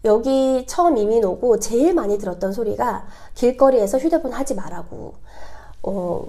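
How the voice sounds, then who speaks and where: Hoarse, high-pitched woman vlogger with a cold. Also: a little mellow. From South Korea. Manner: chatty, explanatory. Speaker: vlogger hosting own channel. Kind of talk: casual talk to viewers.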